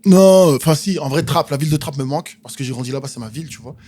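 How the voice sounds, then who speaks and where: A man's voice: on the high side, fast, dark tone, faint music behind. A man from France, a stand-up comedian, chatting casually as a podcast guest. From France, born in Marseille, raised in Nantes and the Paris suburbs. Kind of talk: casual chat.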